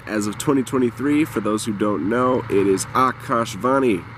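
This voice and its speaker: A man's voice: mid-range voice, moderate pace, a bit bright, a little hiss or hum. A man doing casual, off-the-cuff commentary as a shortwave radio hobbyist, recorded outdoors in a backyard. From United States.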